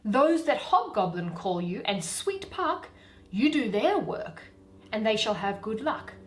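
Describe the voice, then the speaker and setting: Woman playing a dainty, lilting, slow-spoken fairy. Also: a little high, very animated. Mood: curious, teasing. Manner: lilting, theatrical verse delivery. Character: dainty wandering female fairy serving the fairy queen.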